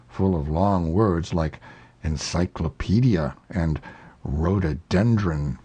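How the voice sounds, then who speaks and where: A man's voice: low voice, dark tone, breathy edge. A man reading a children's story aloud as an audiobook narrator.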